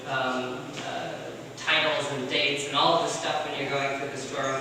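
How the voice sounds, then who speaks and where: A man speaking as guest curator at an exhibition opening, halting with many ums and restarts. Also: mid-range voice. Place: museum gallery event. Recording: echoey room, far from mic, background noise.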